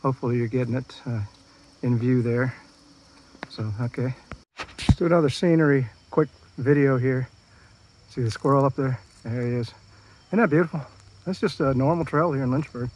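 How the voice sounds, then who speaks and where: A man's voice: mid-range voice, normal speed, long pauses, a little mellow, rough recording. Middle-aged man, casual phone-camera walk-and-talk in a park; folksy, rambling, restarts often. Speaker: park walk-through vlogger. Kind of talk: casual walking commentary. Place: outdoor city park trail. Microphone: handheld phone camera.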